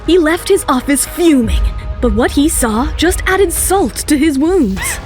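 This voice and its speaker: A woman's voice: high-pitched, expressive, measured pace, darkish tone, faint music behind. A woman reading scripted, dramatic story narration for an audio drama.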